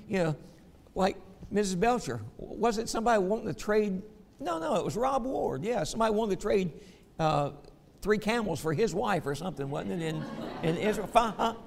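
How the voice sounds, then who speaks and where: A man with a Southern American accent teaching a Bible lesson, folksy in his asides and slow and emphatic when he reads scripture. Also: high voice, gravelly, in a large hall. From Southern United States.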